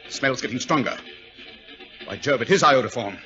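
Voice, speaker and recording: Animated, imperious British detective. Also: male voice, mid-range voice, moderate pace, faint music behind. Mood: urgent commanding. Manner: imperious, clipped exclamations. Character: brisk, commanding gentleman detective, urgent then amused. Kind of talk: 1940s radio drama acting. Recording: dull vintage radio recording.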